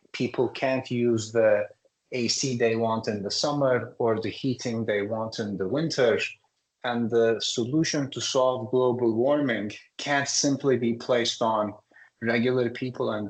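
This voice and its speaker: A man, a startup founder, giving polished, pitch-like answers in an interview. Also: poor audio. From Turkey. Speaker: startup CEO guest.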